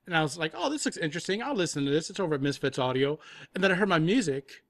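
A man's voice: fairly high pitch, lively intonation, very fast, no echo, studio quality. A man chatting casually as an interview guest, musing and hesitant, often repeating himself. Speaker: film and audio drama composer, interview guest.

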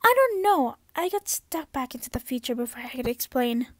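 A woman's voice: sits high, very animated, brisk, no room sound. A young woman voicing an earnest, anxious young female cartoon pony in an urgent, emphatic way. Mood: urgent warning. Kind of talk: amateur cartoon voice impression.

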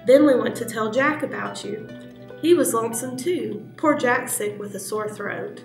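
A woman's voice: fairly high pitch, normal speed, vocal fry, reverberant, recorded from a distance, faint music behind. A woman voicing a kind little girl in a storybook, eager and sweet.

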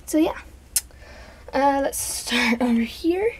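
Soft-voiced congested young woman. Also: high-pitched, lively intonation, slow. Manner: chatty, rambling, soft, drops into whispers. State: congested, sniffing from allergies. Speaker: vlogger. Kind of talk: casual show-and-tell vlog. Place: bedroom. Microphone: handheld camera.

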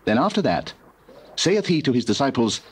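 A man with a British accent reading dramatized scripture as narrator, measured and solemn. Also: mid-range voice, big pitch swings, husky. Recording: hissy old cassette recording.

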